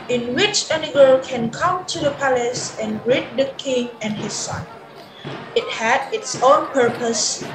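High-voiced young woman narrating. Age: young adult. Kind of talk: scripted storybook narration. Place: indoors on a video call. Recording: roomy echo, background music.

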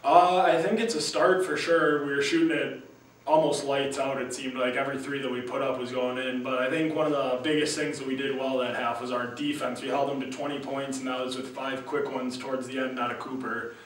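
A man's voice: mid-range voice, level tone, a little fast. Young athlete guy chatting after the game, laid back, room super echoey, little hiss. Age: young adult. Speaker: college basketball player. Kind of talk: postgame interview answers. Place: small room at a team backdrop. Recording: very echoey room, distant mic, faint hiss.

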